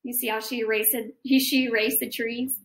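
A woman's voice: fairly high pitch, little pitch movement, normal speed. An American woman, around her thirties, reading a picture book aloud to children and chatting about it as a teacher would. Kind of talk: expressive picture-book read-aloud with comments and questions to children. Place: living room at home, indoors. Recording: roomy indoor home recording.

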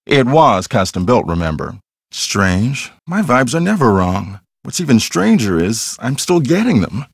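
An animated, self-assured ghost hunter. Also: male voice, mid-range voice, unhurried, gravelly, husky, no room sound, clean studio sound, heavily acted. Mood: earnest, explanatory. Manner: matter-of-fact, explanatory, conversational. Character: self-assured, earnest male ghost hunter with pseudo-scientific theories. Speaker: voice actor in a mystery game. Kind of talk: acted video game dialogue.

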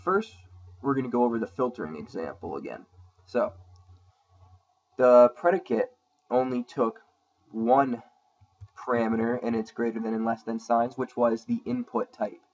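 A man hosting a screen-recorded programming tutorial, explaining code in a casual way and thinking aloud with frequent ums, his voice a little rough from a sore throat. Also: medium pitch, lively intonation, moderate pace, pauses often, a little mellow, dull audio. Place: at a computer, voice-over on screen capture.

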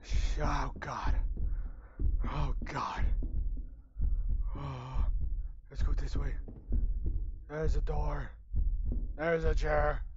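Young male gamer, hoarse from screaming, high-pitched, frightened muttering into a headset. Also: level tone, drawn-out words, long pauses, dull audio, noisy background. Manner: jumpy, panicky, screams and mutters. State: losing his voice, hoarse. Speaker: gaming YouTuber. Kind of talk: horror game let's play commentary. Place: small room at home, indoors. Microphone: headset mic.

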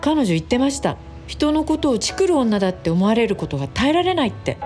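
A woman playing a chatty, teasing female office worker in a radio drama, expressive and gossipy. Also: fairly high pitch, fast, faint music behind.

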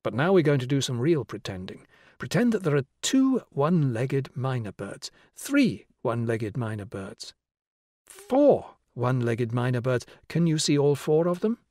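A man voicing a kindly woman teacher in an audiobook, coaxing and instructing children with deliberate phrasing. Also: mid-range voice, very animated, a little mellow, dry recording, studio quality, lightly acted. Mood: gently coaxing. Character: Susila, tall kindly woman teacher guiding children's pretend games. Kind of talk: audiobook character voice.

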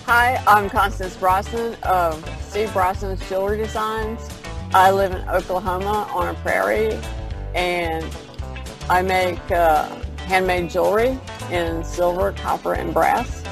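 A woman with a gravelly, creaky voice speaks plainly and haltingly, stopping to find her words. Music plays clearly under her voice. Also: medium pitch, steady tone. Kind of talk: casual video-call chat.

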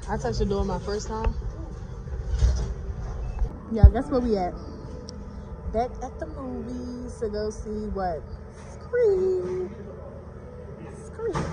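Slow-talking young American woman vlogging. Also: fairly high pitch. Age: 20s. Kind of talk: casual vlog chat to camera. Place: on location outdoors in snow, in a car and around shops. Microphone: handheld camera or phone at arm's length. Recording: noisy handheld on-location recording.

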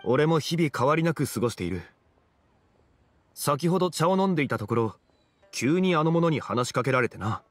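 An actor playing a calm, refined, scholarly gentleman in an anime game, speaking in a measured, formal and eloquent way. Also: fairly high pitch, soft background music. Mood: friendly, composed. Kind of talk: Japanese anime game voice acting.

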